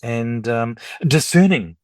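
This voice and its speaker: A middle-aged man in a relaxed interview conversation, with a medium-pitched voice in a close, dry recording. He rambles in a talkative way, telling stories and quoting people as he goes. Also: slow, dry recording. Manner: talkative, rambling, anecdotal, quotes others' speech. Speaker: podcast guest, record collector and dealer. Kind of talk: casual interview chat.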